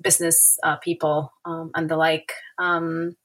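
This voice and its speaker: Bright-voiced Argentine woman, halting. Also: low-pitched, flat delivery. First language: Spanish. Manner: thoughtful, many hesitations and fillers. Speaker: guest author, historian. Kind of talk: academic interview.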